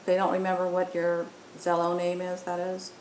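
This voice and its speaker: A woman's voice: monotone, moderate pace, darkish tone. A woman presenting an unscripted app demo, explaining step by step with some hesitation. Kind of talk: unscripted software tutorial narration. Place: indoor room, voice-over on screen recording. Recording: roomy echo, faint rumbling noise.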